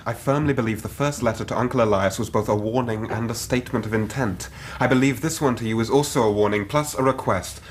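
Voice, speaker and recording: A man's voice: medium pitch, fast talker, hardly any pauses, slight background noise, natural voice. An actor playing an anxious, earnest young Victorian man in a radio drama, speaking in formal, precise period language. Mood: pleading, urgent. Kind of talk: radio drama acting, narrating his own story.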